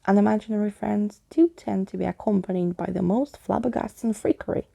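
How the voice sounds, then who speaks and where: A woman's voice: medium pitch, fairly even intonation, a little fast, gravelly, warm tone. A woman voicing a courtly, eccentric male skeleton from a storybook, with a pompous, old-fashioned manner. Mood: grandly declaring.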